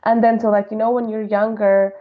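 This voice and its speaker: A woman in her late twenties from Colombia with a Colombian accent, chatting informally as a podcast guest and telling stories in an animated way. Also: fairly high pitch, fairly quick, dull audio. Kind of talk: casual interview chat.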